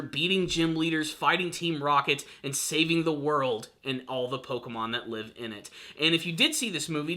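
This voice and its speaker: A male video reviewer with a fairly high, bright and punchy voice. He talks straight to his viewers with gushing enthusiasm. He leans hard on key words and draws them out for emphasis. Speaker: film review channel host.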